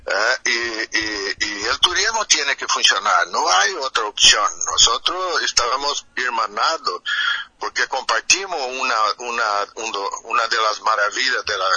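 A Brazilian man speaks as an official guest in a radio interview, with a Portuguese accent. His voice is very sharp and rough-edged, with no room sound. He talks haltingly, searching for words in a language that is not his first. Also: medium pitch, expressive, no room sound, highs cut off. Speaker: municipal tourism secretary, interview guest. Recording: remote call heard over radio.

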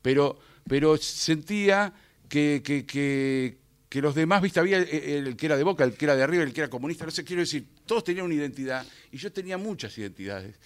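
Reflective French-born man, Buenos Aires Spanish. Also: on the high side. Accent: Rioplatense Spanish. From France, raised in Argentina. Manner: reflective, rambling with many fillers. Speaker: actor, interview guest. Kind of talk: casual radio interview.

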